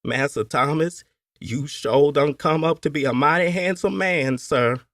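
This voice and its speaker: A man voicing an admiring older plantation servant woman, speaking warmly and deferentially. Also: on the high side, unhurried, no echo, studio quality, voice pushed up. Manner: warm, deferential dialect.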